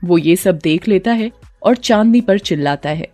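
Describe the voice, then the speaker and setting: A woman's voice: on the low side, lively intonation, normal speed, warm tone. Woman storyteller narrating over music. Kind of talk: scripted narration of a moral bedtime story.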